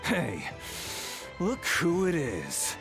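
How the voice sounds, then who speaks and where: High-pitched jeering henchman. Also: wildly swooping pitch, drawn-out words, vocal fry, music in the background, full character voice. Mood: taunting. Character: jeering greedy henchman, mocking second-fiddle lackey. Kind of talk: video game voice acting.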